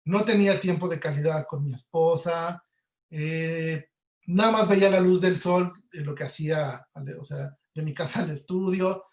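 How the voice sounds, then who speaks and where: A man's voice: on the high side, unhurried. A man with a Mexican Spanish accent giving casual, explanatory interview answers through everyday examples, as a guest audio producer. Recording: roomy, echoey webinar audio.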